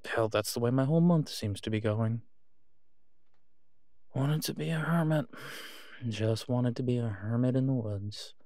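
A man plays a reluctant werewolf alpha in an audio roleplay. His delivery is casual and understated, with an awkward hesitance. The voice sits at a medium pitch in a dry, close recording. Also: a little slow, long pauses, warm tone, no echo, natural voice. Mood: weary, exasperated. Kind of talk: naturalistic audio roleplay acting.

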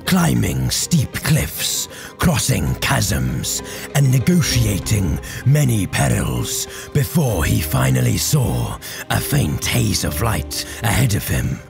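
A man playing a grave, impassioned warrior orator in a theatrical, measured audio drama monologue over music. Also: fairly low pitch, gravelly, exaggerated voice. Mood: awed. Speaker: voice actor.

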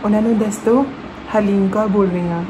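A young male vlogger playing a polite Punjabi woman on a prank phone call, halting and repeating himself. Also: high voice, fairly even intonation, natural voice. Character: polite Punjabi woman phoning an old classmate's family. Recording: clear background noise.

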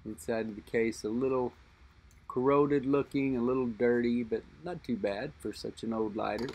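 A middle-aged man talking unscripted about a vintage lighter he holds, musing aloud and pausing to think as he describes it. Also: medium pitch, fairly even intonation, darkish tone, husky. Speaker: lighter collector and reviewer. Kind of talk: unscripted show-and-tell narration.

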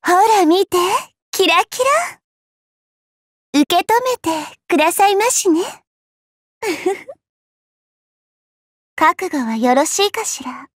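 A woman's voice: very high-pitched, expressive, slow, pauses often, no room sound, clean studio sound, heavily acted. An actress playing a dainty, girlish goddess in an anime game, elegant, coy and teasing, with polite ladylike phrasing. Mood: sweetly confident. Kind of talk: anime mobile game battle voice lines. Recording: game audio with music behind.

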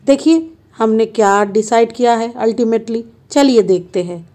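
A woman's voice: a little high, normal speed, warm tone. A woman narrating a road-trip travel vlog in a chatty, playful way, filming on a handheld phone from a car and at roadside stops. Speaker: travel vlogger.

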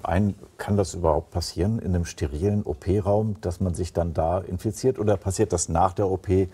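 A man's voice: low-pitched, steady tone, fairly quick, warm tone, breathy edge. A middle-aged man hosting a studio interview and asking questions. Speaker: TV host, interviewer.

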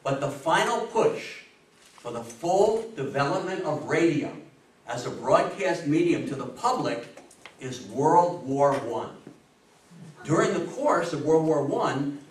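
A man in his sixties giving a public history talk, speaking in an emphatic, deliberate storytelling way with rhetorical questions. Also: fairly high pitch, animated. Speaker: guest lecturer on history. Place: indoor meeting room with seated audience. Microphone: distant camera microphone. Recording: echoey room, distant mic, faint noise.

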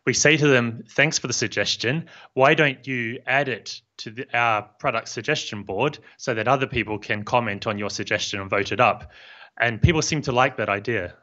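A man in his fifties with a New Zealand accent, a software founder calmly explaining his business in an interview. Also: mid-range voice. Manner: measured and friendly, explains plainly. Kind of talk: casual interview chat. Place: home office room. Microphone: studio mic on boom arm, headphones. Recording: slightly dull lo-fi audio.

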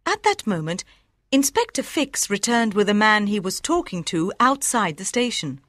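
A woman reading a scripted storybook narration in a clearly enunciated, expressive way. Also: medium pitch, normal speed, no echo, studio quality. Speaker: audiobook narrator.

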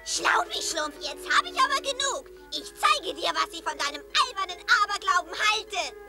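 A woman voicing a feisty, soft-hearted little female gnome in a cartoon. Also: extremely high pitch, animated, moderate pace. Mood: fed up. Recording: loud music behind the voice.

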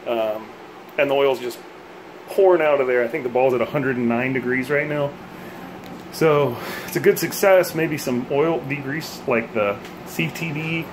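A man's voice: mid-range voice, moderate pace, background noise. Man filming a DIY demo in his kitchen. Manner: relaxed, thinking aloud with frequent ums and uhs. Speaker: bowling hobbyist vlogger. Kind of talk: casual DIY demonstration. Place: home kitchen, indoors. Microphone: phone held at arm's length.

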